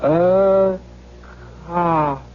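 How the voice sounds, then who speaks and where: An actor playing a frightened, shell-shocked orphan boy who is relearning speech, stammering out his words haltingly in a radio drama. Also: high-pitched, over loud music. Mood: halting effort. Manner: halting, stammering, effortful word by word. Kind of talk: 1970s radio drama acting. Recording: dull lo-fi 1970s radio broadcast.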